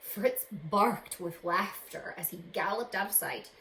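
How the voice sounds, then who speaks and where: A woman's voice: on the low side, lively intonation, pushed and bright. A woman reading a children's storybook aloud with dramatic expression, as if to young listeners. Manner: dramatic, pausing to ask young listeners questions. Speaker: teacher reading a picture book aloud to children. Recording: roomy echo, faint background noise.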